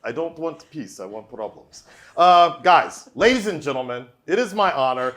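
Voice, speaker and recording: A man's voice: fairly high pitch, sing-song, normal speed, fairly bright tone. A man hosting a podcast, joking and teasing his guest in a hyped, casual chat. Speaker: podcast host.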